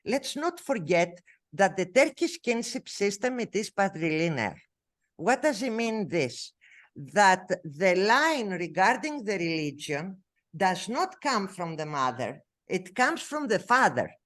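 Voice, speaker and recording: Woman, Greek accent, non-native English, academic lecture, halting with fillers, unhurried, lively pitch. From Greece. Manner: halting, many fillers and self-corrections. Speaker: researcher giving a talk.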